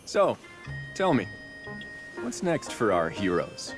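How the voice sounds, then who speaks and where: A man's voice: fairly low pitch, huge pitch swings, slow pace, music in the background, heavily acted. An actor playing a steadfast, teasing male bird-folk warrior in a video game, with a wry, assured manner. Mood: friendly.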